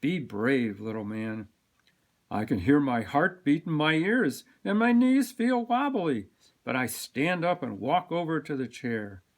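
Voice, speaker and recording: A man reading a children's picture book aloud with expressive, dramatic emphasis. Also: a little high, unhurried. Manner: animated, dramatic delivery with sound-word emphasis. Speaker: storybook reader.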